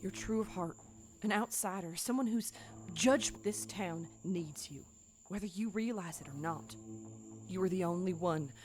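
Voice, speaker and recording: An actress playing a secretive, solemn woman stranger in a game, speaking in hushed, cryptic warnings. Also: lowish voice, a little slow, music in the background, close to an everyday voice. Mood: solemn, urgent. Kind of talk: visual novel game character acting.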